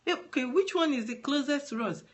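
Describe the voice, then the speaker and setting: A woman's voice: sits high, lively intonation, brisk. A Nigerian woman whose first language is Yoruba, giving an earnest live-streamed talk from her phone, explaining at length and repeating words for emphasis. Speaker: advocate for trafficking survivors.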